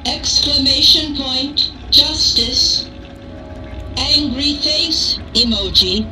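High, measured computer voice. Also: female voice, faint music behind, full character voice, rough recording. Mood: neutral, formal. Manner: flat, formal, robotic announcements. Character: polite female computer of a vigilante's vault, unflappable. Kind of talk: radio drama acting.

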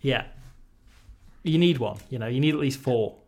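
A man's voice: medium pitch, normal speed, a little mellow. A man from Wales in his thirties, a guest on a casual rugby podcast, talking with joking, dry gallows humour.

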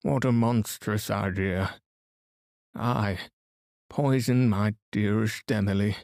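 A man's voice: on the low side, fairly even intonation, slow pace, creaky, a little mellow, no room sound, clean studio sound. A man voicing an aggrieved widower under suspicion in an audiobook, mournful and affronted. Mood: shocked, indignant. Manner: murmuring grief, affronted outbursts. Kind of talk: audiobook character voice.

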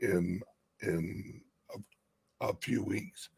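Slow raspy man chatting. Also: deep voice, fairly even intonation, darkish tone. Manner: slow, rambling, drawn-out talk. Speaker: co-host. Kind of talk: casual livestream chat.

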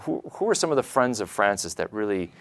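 A man's voice: lowish voice, big pitch swings, quick pace. A man hosting a reflective conversational interview, asking questions and adding his own commentary. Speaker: interview host.

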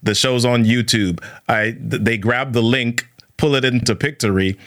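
A man's voice: mid-range voice, normal speed, fairly bright tone. A man hosting an interview, reacting eagerly and asking follow-up questions. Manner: enthusiastic, affirming interjections. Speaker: podcast host. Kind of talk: conversational interview.

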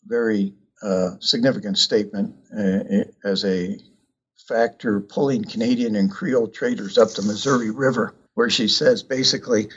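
Guy talking thru his history book, lots of ums, kinda husky, muffled lo-fi sound. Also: on the low side. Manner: measured, halting, many fillers. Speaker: author discussing his book. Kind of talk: unscripted book talk, reading quotes. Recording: muffled lo-fi recording.

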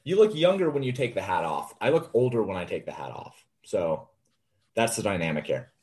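A man's voice: on the low side, sing-song, fairly quick, a little mellow. A man in his late twenties co-hosting a casual livestream chat, laid-back and joking, with lots of fillers. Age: 20s. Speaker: co-host.

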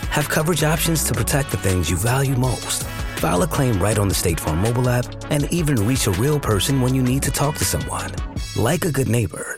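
A man reading a scripted insurance advertisement in a polished, upbeat way. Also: mid-range voice. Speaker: ad announcer. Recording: read over clear music bed.

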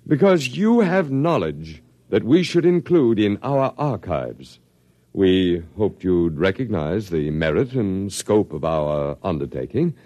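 A low-voiced, courteous scientist with sweeping, theatrical intonation. He is measured and condescending, utterly devoted to his grand project, in an old radio drama with a dry, close sound. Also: dark tone, no echo, highs cut off. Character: smooth, courteous, zealous male scientist running an eternal archive. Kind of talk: classic radio drama acting.

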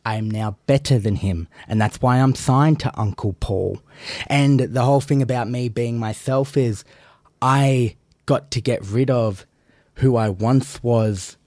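A man's voice: medium pitch, a little mellow, no echo. Cocky aussie guy bragging about himself nonstop, dry close sound. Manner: boastful, arrogant, self-aggrandizing. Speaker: wrestler guest in character. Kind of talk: podcast interview in wrestling persona.

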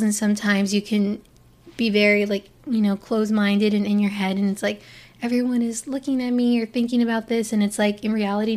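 A young woman from Florida talks straight to her viewers in a loose, rambling way. Her sentences circle back and restart, full of "like" and "you know." Her voice sits at an ordinary middle pitch. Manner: chatty, rambling, many "like" fillers and restarts. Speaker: vlogger. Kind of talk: casual personal talk to camera.

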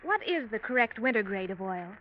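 A woman's voice: mid-range voice, very animated, fairly quick, natural voice. An actress playing a genteel, inquisitive studio lady in a scripted 1940s radio commercial. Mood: curious. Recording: dull vintage radio recording.

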